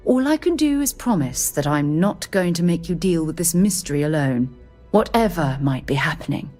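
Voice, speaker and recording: An actress playing an earnest, excitable space-explorer scientist in a video game, speaking in an articulate, formal, slightly flustered way. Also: female voice, lowish voice, moderate pace, faint music behind. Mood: flustered, devoted.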